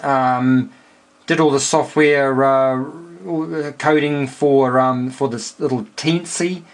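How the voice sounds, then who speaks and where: A New Zealand man speaks as a ham radio hobbyist in a medium-pitched voice. He explains technical details casually, with a hesitant, stop-and-start delivery. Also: steady tone, takes it slowly, low-quality recording. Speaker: amateur radio operator.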